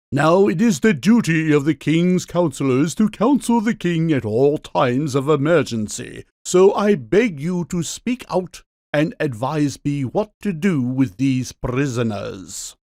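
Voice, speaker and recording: A pompous storybook king declaims his rebukes in a fairly high male voice. The pitch rises and falls in lively sweeps, and the sound is dry and close. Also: no room sound. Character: pompous male king, a living kitchen cleaver. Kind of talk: full-cast audiobook acting.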